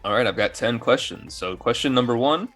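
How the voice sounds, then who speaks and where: A man's voice: medium pitch, animated, fairly quick, fairly bright tone, faint music behind. A man chatting casually and bluntly with plenty of swearing, acting as quizmaster on a podcast. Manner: blunt, profane. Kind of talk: casual group banter.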